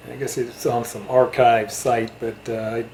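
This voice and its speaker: Guy chatting casually about old hobby memories, kinda halting, bit of background noise. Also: mid-range voice, little pitch movement, a little mellow. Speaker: interviewer, channel host.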